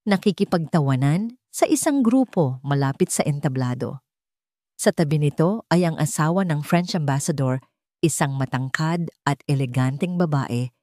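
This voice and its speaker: Filipina lady storyteller, low voice, super dry close sound, dramatic romance narration. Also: darkish tone, no room sound.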